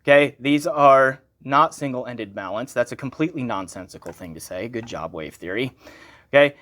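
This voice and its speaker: A man's voice: a little high, fairly bright tone. A man giving a casual, unscripted product review, talking conversationally and thinking aloud as he corrects himself. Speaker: gear reviewer and channel host.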